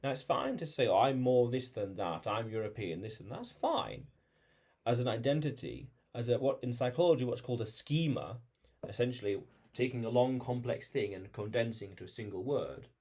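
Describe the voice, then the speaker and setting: A man's voice: mid-range voice, animated, moderate pace, darkish tone, slightly rough. A man vlogging alone, thinking aloud about identity, with frequent restarts and repeated phrases. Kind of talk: reflective solo monologue.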